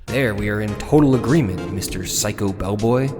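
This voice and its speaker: A man's voice: on the low side, moderate pace, darkish tone, faint music behind, lightly acted. An actor voicing an exasperated but polite young male defence lawyer from a video game, with hesitant, sardonic asides. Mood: wry. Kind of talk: dramatized video game dialogue.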